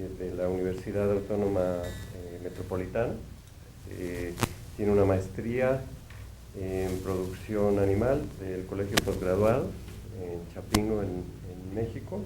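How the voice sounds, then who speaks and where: Low mellow guy introducing a speaker, lots of ums, echoey room with faint hum. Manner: hesitant, many fillers. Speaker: program associate director introducing speaker. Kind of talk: formal introduction. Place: university lecture hall.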